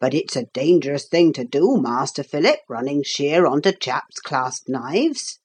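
A woman puts on an old working man from a storybook, a carpenter with a lowered voice and slow, unhurried delivery. He is friendly and teasing, with homely, rustic turns of phrase. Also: very animated, darkish tone, breathy edge, heavily acted. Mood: gruff, kindly. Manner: slow, kindly, rustic ungrammatical speech. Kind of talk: storybook character voice in a read-aloud.

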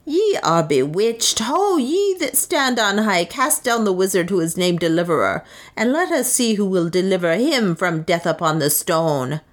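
A woman reader voicing a fanatical old male high priest who screams his commands. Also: sing-song, moderate pace, lightly acted. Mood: scornful. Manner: frenzied, screaming.

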